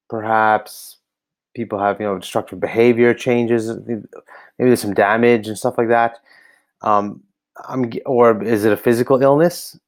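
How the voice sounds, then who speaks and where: An American man giving casual spoken feedback on a website, hesitant and full of ums and restarts, recorded on a laptop camera indoors. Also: fairly low pitch. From United States. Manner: hesitant, many ums and restarts, thinking aloud. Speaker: website reviewer for coaches. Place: indoor room, seen on camera.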